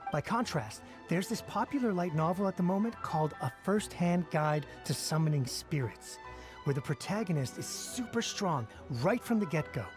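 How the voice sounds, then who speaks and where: A man's voice: fairly high pitch, lively intonation, normal speed, over music, close to an everyday voice. A man voicing a candid, casual fan in an anime game, frank and explanatory. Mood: candid, enthusiastic. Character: candid, casual male reader who loves cheap thrills.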